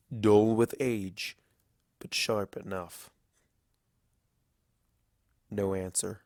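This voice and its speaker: Slow, deep-voiced man narrating dramatic fiction. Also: no room sound, studio quality. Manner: grave, deliberate storytelling with dramatic pauses.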